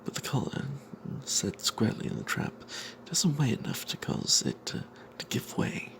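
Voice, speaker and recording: A man telling a fantasy tabletop tale as whispered ASMR, in a breathy, halting way. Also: lowish voice, huge pitch swings, a little hiss or hum.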